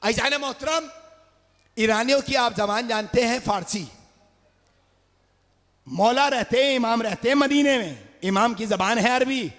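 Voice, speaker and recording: Man, Urdu accent, majlis orator, high voice, shouting and chanting, long hall reverb. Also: unhurried, pauses often. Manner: rises to shouts and sung phrases, calls on the audience. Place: large religious gathering hall.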